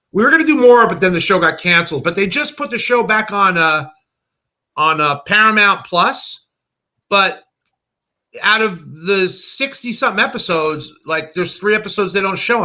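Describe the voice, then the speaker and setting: An American man with a high, very bright and forceful voice. He chats casually, telling stories and voicing the quotes as he goes. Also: normal speed. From United States. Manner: animated storyteller who acts out quotes. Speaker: guest, animator. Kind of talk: casual anecdotal chat.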